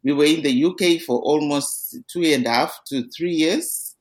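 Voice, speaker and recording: A woman's voice: sits low, moderate pace. A middle-aged woman from Zimbabwe with a Zimbabwean accent, earnestly recounting her life story as a podcast guest over a remote call. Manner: earnest, steady storytelling with small restarts. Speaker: interview guest.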